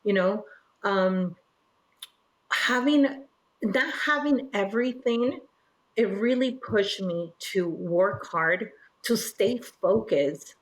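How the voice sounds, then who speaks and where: Slow-paced, reflective woman from Peru. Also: mid-range voice, low-quality recording. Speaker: interview guest, regional director. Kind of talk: personal storytelling in an interview.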